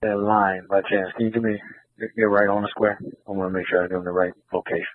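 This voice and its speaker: A man with a low voice handles dispatch requests over two-way radio. The sound is thin and band-limited, like a police scanner feed. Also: flat delivery, quick pace, dry recording, phone-quality sound. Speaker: radio operator relaying service requests.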